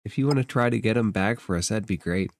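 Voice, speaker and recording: A man's voice: on the low side, quick pace, no echo, natural voice. A man playing a small, friendly space hamster in a tabletop game, talking in a casual, hesitant, plain-spoken way. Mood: casual, hopeful.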